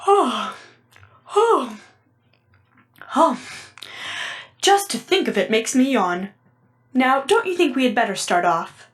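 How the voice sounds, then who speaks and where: A good-hearted, blustery lion from a storybook, voiced by a woman, pitched fairly high. He talks plainly and gruffly, like a big beast with a soft heart. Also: sing-song, takes it slowly, long pauses, exaggerated voice. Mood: weary, pleading. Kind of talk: dramatic storybook reading.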